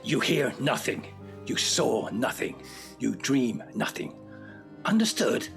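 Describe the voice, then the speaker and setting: A domineering, threatening husband in an audio drama. His gravelly voice issues slow, curt commands over music. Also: medium pitch, sing-song, full character voice. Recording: music clearly underneath.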